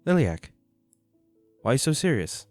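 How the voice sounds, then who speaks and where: Man acting carefree flirty rogue, animated swooping delivery, teasing, coaxing, music behind, fantasy audio drama. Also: medium pitch, normal speed, dry recording, lightly acted. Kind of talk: romantic fantasy audio roleplay.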